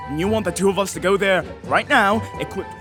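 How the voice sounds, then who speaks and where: A man's voice: high-pitched, fairly quick, over music, close to an everyday voice. A man voicing a vain, easily offended young nobleman with haughty, theatrical, formal speech in a comedic fan dub. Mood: exasperated. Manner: haughty, theatrical, formal old-fashioned diction.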